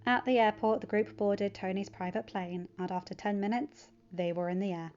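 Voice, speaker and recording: A woman's voice: mid-range voice, fairly even intonation, normal speed, a little mellow, soft background music. Woman narrating a story, slightly muffled. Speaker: fan fiction audiobook narrator.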